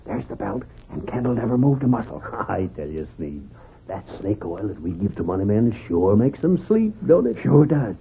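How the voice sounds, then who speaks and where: Rustic gloating outlaw, swinging intonation, tinny radio western. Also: male voice, fairly low pitch, big pitch swings, normal speed. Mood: sly, gloating. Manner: rough rustic speech. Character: pair of sly, chuckling frontier crooks. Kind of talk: old-time radio western acting. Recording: tinny, noisy old radio recording.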